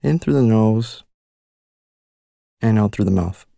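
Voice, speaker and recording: A man leading a guided mindfulness meditation with slow, gentle and soothing instructions. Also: on the low side, gravelly, dry recording, studio quality.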